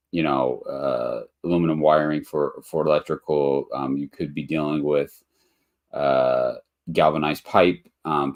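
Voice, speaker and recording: A man hosting a live webinar in a casual, rambling way, a bit nasal and congested from allergies, with lots of ums and restarts. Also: a bass voice. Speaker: webinar host, motel investor.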